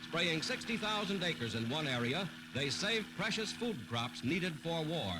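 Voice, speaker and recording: A man's voice: on the high side, sing-song, a little slow, noisy background. A man with an American accent narrating a 1940s newsreel in a dramatic, formal, declamatory announcer style, on a vintage soundtrack.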